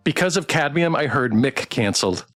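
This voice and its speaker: A game streamer chatting through a live playthrough in a playful, expressive way. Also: mid-range voice, normal speed, faint music behind. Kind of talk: live visual novel playthrough, reading aloud and chatting.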